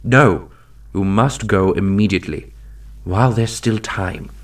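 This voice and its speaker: A man's voice: fairly low pitch, a little slow, close to an everyday voice. A man playing a trapped divine elder brother, solemn and commanding, with pleading urgency, in a fantasy audio drama. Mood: urgent, commanding.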